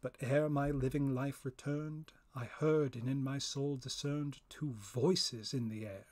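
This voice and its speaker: A man giving a dramatic reading of a narrative poem, solemn, theatrical and deliberate. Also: medium pitch, steady tone, darkish tone. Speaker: poetry reader, professor.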